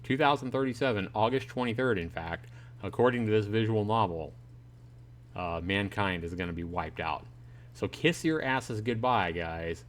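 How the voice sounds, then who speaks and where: A man's voice: fairly low pitch, lively intonation, moderate pace. A man chatting informally and jokingly with viewers as he reads and comments on a visual novel on a livestream. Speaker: livestreaming gamer reading a visual novel to chat. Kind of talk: casual livestream play-through commentary mixed with reading aloud.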